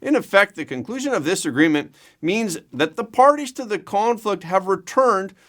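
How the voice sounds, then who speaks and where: A man reading scripted news as an anchor, with a measured, emphatic delivery. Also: high-pitched, expressive, a bit bright.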